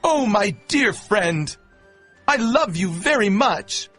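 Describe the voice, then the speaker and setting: Kind, nervous cartoon runaway slave, bright strained tone, rough-edged voice, exclamatory, pleading. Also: male voice, high-pitched, slow, heavily acted. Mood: tender affection. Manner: exclamatory, over-expressive. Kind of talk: children's cartoon voice acting. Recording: music underneath.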